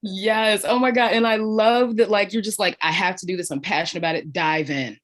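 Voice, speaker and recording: A woman with an average-pitched voice hosts a conversational interview. She sounds effusive and affirming, talking in a casual, informal style. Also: brisk. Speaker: podcast host.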